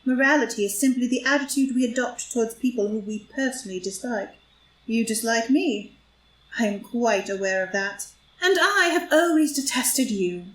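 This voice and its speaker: A woman's voice: a little high, moderate pace, natural voice. Woman as a scheming society blackmailer, roomy sound. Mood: cool, menacing. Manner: arch, taunting, theatrical delivery. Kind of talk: stage play read as audio drama. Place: indoor room, live group reading. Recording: roomy live group reading, faint noise.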